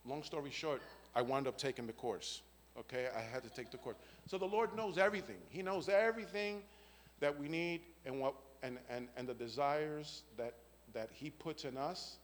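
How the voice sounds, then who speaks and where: A man's voice: a little high, normal speed, big-room sound, slight background noise. A man, giving an earnest spoken faith testimony and often checking in with "right?" and "okay?". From the Bronx, New York. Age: 50s.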